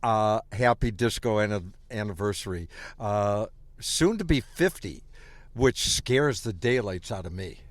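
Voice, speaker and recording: A man's voice: lowish voice, expressive, a little slow. An older man with a Chicago accent, a guest chatting and teasing the host in a wry way at a restaurant. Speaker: guest, former radio marketer. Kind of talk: casual chat.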